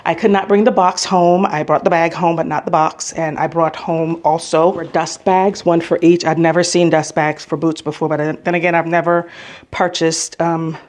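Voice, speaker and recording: Low-voiced chatty American woman vlogger. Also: level tone, moderate pace, a little mellow. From United States. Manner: chatty, opinionated, emphatic repetition. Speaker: travel vlogger. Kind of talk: casual storytime vlog.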